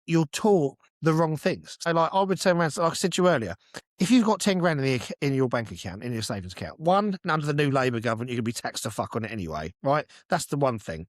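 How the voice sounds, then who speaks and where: A man's voice: medium pitch, lively intonation, fast, no room sound. A British man co-hosting a casual podcast chat, explaining his opinions in a sweary, insistent way and answering his own questions. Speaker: podcast co-host.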